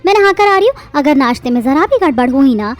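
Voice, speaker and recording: A woman voicing a harsh middle-aged mother-in-law in an animated family drama, hurling shrill insults. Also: brisk. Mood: furious. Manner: shrill, insulting, shouting tirades.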